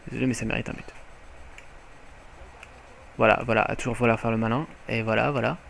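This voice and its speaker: Excitable French gamer with headset mic. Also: male voice, medium pitch, brisk, vocal fry, slight background noise. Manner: excitable, swearing, jokey asides. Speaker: gaming commentator. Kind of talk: live gameplay commentary. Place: at home.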